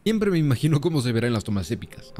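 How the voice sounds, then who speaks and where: Guy doing voiceover for his pc build vid, calm explaining tone, mid voice. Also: medium pitch, very fast, slight background noise. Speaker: YouTuber narrating his PC build. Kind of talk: scripted voice-over narration.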